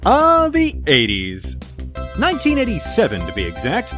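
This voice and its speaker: A man's voice: high-pitched, wildly swooping pitch, moderate pace, sharp tone, soft background music, full character voice. An actor playing a fussy, wry male agent with a theatrical storyteller's delivery in an audio comedy drama. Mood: nostalgic, theatrical.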